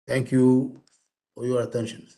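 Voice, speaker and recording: A man with a dark, soft-edged voice of average pitch gives a formal talk. He is very slow and deliberate, often halting between short phrases as he presents. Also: flat delivery, vocal fry. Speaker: conference speaker.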